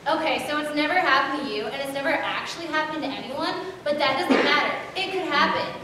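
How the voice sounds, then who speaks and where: A teenage girl in a school readers theater, speaking dramatically with rapid asides. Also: high-pitched, pushed and bright. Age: teens. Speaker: student performer. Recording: echoey room from far off with noise.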